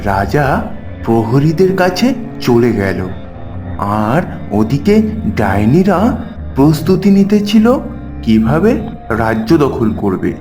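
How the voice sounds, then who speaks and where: A man's voice: medium pitch, very animated, slow, pauses often. A man giving scripted narration for a fairytale cartoon. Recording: echoing voice over clear music.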